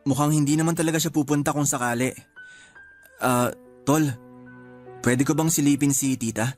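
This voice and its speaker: Man acting a gentle, caring Filipino friend. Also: fairly high pitch, steady tone, moderate pace, close to an everyday voice. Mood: apologetic, polite. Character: caring, apologetic male friend who sees spirits. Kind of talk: Tagalog radio drama acting. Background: background music.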